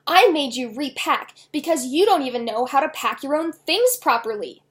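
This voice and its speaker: A woman's voice: sits high, expressive, normal speed. Woman reading as a scolding, irritable dad. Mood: angry, scolding. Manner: scolding, loud, emphatic. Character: irritable, quick-tempered father of a young girl. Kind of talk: children's novel read aloud.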